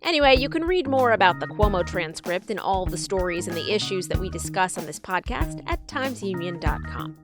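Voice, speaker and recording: Female podcast host, medium pitch, reading scripted links with music behind her.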